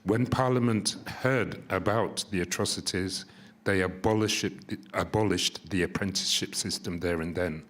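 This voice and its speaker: Low-pitched male panelist, informal history talk. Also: moderate pace, fairly bright tone.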